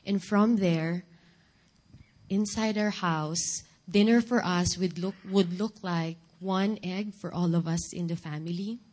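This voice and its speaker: A woman with a Filipino accent giving a church testimony in deliberate public speech, with rhetorical questions. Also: on the low side, a little mellow. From Philippines. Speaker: guest speaker giving testimony. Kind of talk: church sermon and personal testimony.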